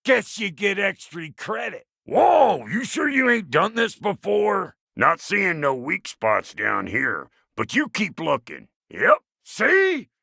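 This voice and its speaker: A man voicing a gruff, cranky, foul-mouthed but fond dwarf blacksmith in a video game, with a raspy, folksy drawl. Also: high-pitched, wildly swooping pitch, slow pace, hardly any pauses, pushed and bright, dry recording, clean studio sound, full character voice. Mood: encouraging. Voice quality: gravelly, raspy. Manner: folksy, crude, blustering drawl. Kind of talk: video game character dialogue.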